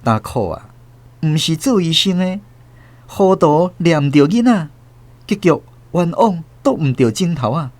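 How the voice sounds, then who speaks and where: A man with a Taiwanese accent narrating a scripted story in a theatrical, expressive way. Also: a little high, measured pace, dry recording.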